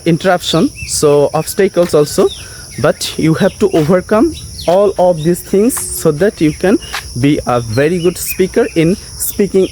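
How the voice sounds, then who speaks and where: A man with a Bengali accent, a native Bengali speaker, giving an earnest motivational English lesson, often pausing with uh and okay. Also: high voice, darkish tone, slight background noise. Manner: earnest, repetitive, frequent uh and okay fillers.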